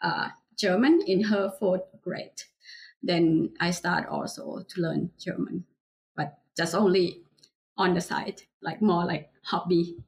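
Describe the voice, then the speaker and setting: A woman with a Thai accent speaks slowly in a podcast interview. She pauses often and fills the gaps with short fillers as she gathers her thoughts. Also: on the low side, gravelly, rough recording. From Thailand. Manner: hesitant, many fillers, chuckles lightly. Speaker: heritage language teacher. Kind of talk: interview answer.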